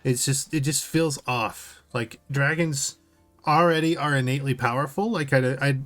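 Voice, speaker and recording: A man's voice: medium pitch, moderate pace, a bit bright, faint music behind. A man chatting casually as a podcast co-host, hesitant and sceptical as he reasons. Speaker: podcast co-host reacting to a video. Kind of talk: casual chat.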